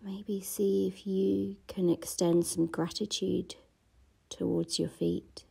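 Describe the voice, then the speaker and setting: Slow, gravelly, half-whispered meditation woman. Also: medium pitch, level tone. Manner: slow, soft-spoken, often half-whispered. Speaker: meditation guide. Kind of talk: guided meditation.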